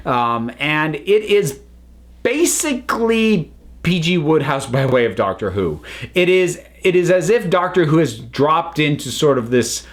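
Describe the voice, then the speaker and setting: A man's voice: on the high side, big pitch swings, measured pace. A man giving a casual, unscripted review, chatty and rambling as the host.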